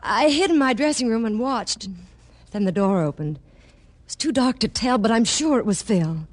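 A woman's voice: on the high side, lively intonation, normal speed. An actress playing a coy, uneasy married woman who is a private eye's client in a vintage radio drama. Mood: frightened, tense. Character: frightened yet flirtatious married woman, femme fatale client. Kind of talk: 1940s-50s radio drama acting.

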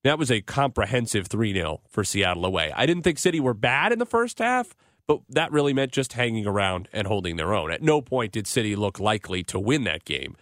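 A man's voice: fairly low pitch, very animated, fairly quick, a bit bright, no echo. Dry, close-miked man hosting sports radio. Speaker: sports radio show host. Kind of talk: live sports radio hosting and soccer analysis.

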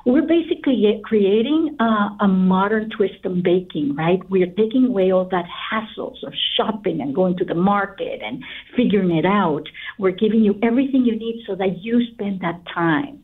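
A woman's voice: medium pitch, expressive, normal speed, breathy edge. Woman guest on a thin phone-like line. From Guatemala. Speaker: interview guest, company founder. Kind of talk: conversational personal storytelling. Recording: slightly distant, narrow phone-like sound.

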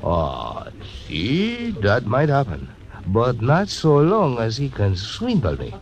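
An actor playing a boastful, excitable casino owner with a Spanish accent, in a 1940s radio drama. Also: male voice, mid-range voice, slow, muffled recording, exaggerated voice. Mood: florid,wheedling. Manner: theatrical, florid, swooping phrases.

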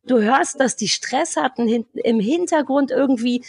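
A woman co-hosting a podcast, talking casually in an animated, blunt ranting manner with some swearing. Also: high voice, moderate pace, breathy edge, no room sound, clean studio sound. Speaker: podcast co-host. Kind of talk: casual review chat.

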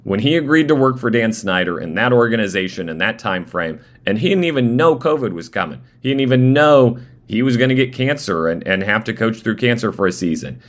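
Lowish-voiced sports radio host, straining voice. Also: male voice, brisk, hardly any pauses. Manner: animated, restarting phrases. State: losing his voice. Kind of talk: live sports talk radio. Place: radio studio.

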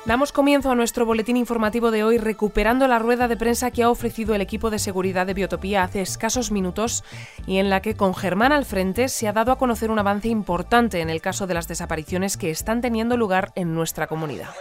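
A woman playing a composed presenter of a futuristic community radio bulletin, speaking in a formal, measured newsreader manner. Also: medium pitch, soft background music, natural voice. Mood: composed, welcoming. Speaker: news presenter. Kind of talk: scripted radio news bulletin.

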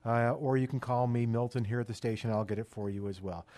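A man with a medium-pitched voice hosts a radio interview. He addresses guest and listeners in a dry, studio-like sound. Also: steady tone, fast talker, mellow, no echo. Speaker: radio show host.

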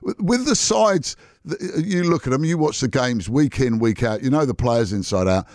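A man's voice: medium pitch, animated, moderate pace, a little mellow, no room sound. A man hosting a radio interview, asking long, rambling questions. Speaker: radio host.

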